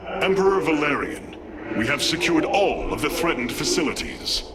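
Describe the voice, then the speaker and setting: A man's voice: a little high, very animated, moderate pace, very noisy. A man voicing a noble, resolute alien military leader in a video game, formal, stately and commanding. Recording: loud music behind studio voice.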